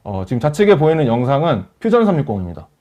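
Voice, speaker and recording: A man narrating a software tutorial as a webinar instructor, explaining each step in a polite, formal way. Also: mid-range voice, sing-song, fast talker. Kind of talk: software tutorial narration over screen demo. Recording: dull lo-fi voice recording.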